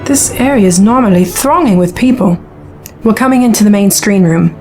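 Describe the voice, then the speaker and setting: A woman acting in a science fiction audio drama as a brash, wry young reporter and pilot with a sarcastic, expressive delivery. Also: medium pitch, normal speed, lightly acted. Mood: worried, businesslike. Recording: music behind the voice.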